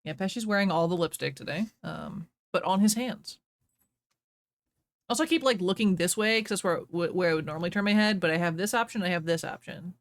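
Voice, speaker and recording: A woman's voice: lowish voice, brisk. Woman, streamer, casual chat, giggly, playfully instructive.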